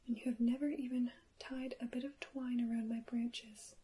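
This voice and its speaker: A woman voicing an ancient, neglected birch tree from a storybook in a breathy, reproachful whisper. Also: on the high side, level tone, moderate pace, warm tone, close to an everyday voice.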